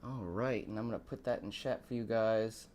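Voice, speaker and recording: A man hosting a live stream, a little hoarse, greeting chat and building up the evening with showy, dramatic lines. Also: fairly low pitch, normal speed, a little mellow, slight background noise. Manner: showy, dramatic build-ups addressed to viewers. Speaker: host of a live stream show.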